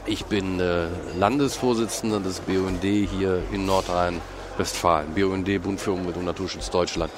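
A man's voice: low-pitched, steady tone, moderate pace, hardly any pauses, over music. A man from Cologne, guest on a podcast, explaining at length with many hesitations, recorded in a busy parliament foyer. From Cologne, Germany. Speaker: guest, head of a state environmental association. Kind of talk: podcast interview answers. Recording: noisy public lobby.

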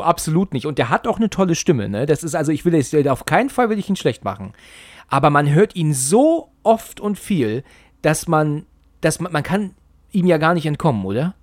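A man's voice: a little high, darkish tone, no room sound, studio quality. A man interviewing in a casual, chatty way, rambling and often repeating phrases as he circles back. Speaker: podcast interviewer.

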